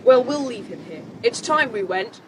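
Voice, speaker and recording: A woman's voice: a little high, expressive, normal speed, background noise, close to an everyday voice. A woman playing a sensible, decisive child leader with a confident, practical manner in an audio drama. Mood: firm, practical.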